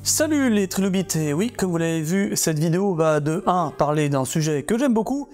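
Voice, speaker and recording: A man's voice: fairly high pitch, lively intonation, moderate pace, a little mellow, faint music behind. A man in his thirties hosting a casual explainer vlog from a small room full of fossils, chatty and joking, with plenty of fillers and restarts. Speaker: YouTube host.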